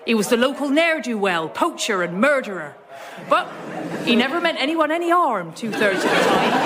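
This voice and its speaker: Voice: mid-range voice, natural voice. A performer playing a jolly old landlady, telling a dramatic tale in a radio comedy sketch. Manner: rustic, dramatic storytelling. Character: jolly old West Country innkeeper woman telling ghost tale. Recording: live audience crowd noise.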